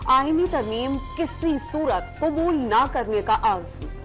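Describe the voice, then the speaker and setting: High-voiced woman reading news headlines. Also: animated, slow. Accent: Pakistani Urdu. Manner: crisp formal newsreading. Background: news music bed.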